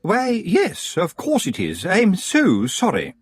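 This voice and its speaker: A man's voice: high voice, huge pitch swings, slow pace, husky, dry recording, faint music behind, pitched above the performer's own voice, exaggerated voice. Prim, sugary witch, as a man puts her on. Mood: honeyed. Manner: falsely sweet, overly polite. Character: prim, sugary middle-aged witch, woman.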